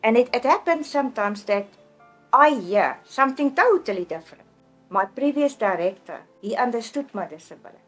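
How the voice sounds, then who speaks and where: A woman's voice: a little high, animated, fairly quick, soft background music. A middle-aged woman from South Africa with an Afrikaans accent, telling her own story in an interview in an earnest, plainspoken way, often restarting words. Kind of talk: personal testimony in an interview.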